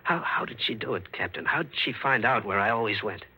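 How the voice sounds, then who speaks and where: A man's voice: on the low side, animated, brisk, sharp tone, slightly rough, heavily acted. An actor playing a shaken, bitter man questioning intensely in a 1940s radio drama. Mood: bewildered. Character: shaken, bitter man who survived a murder attempt. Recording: noisy vintage 1940s radio recording.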